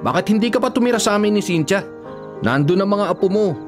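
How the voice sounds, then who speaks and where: An actor playing a worried, exasperated adult son in a Filipino radio drama, pleading urgently. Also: male voice, sits high, animated, fast, soft background music.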